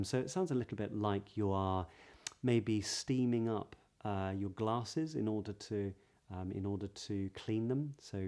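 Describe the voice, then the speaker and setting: A man with a southern British English accent giving a pronunciation lesson as a voice coach, explaining clearly and modelling sounds. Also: on the low side, a little mellow. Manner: clear, demonstrative, breathy example sounds.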